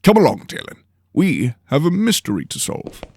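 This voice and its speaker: A man's voice: fairly high pitch, very animated, moderate pace, a little mellow, voice pushed up. A man voicing an eager, pompous lord with a theatrical, self-important manner in a fantasy audio drama. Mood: eager, gleeful. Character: excitable, pompous, eager male lord and mentor.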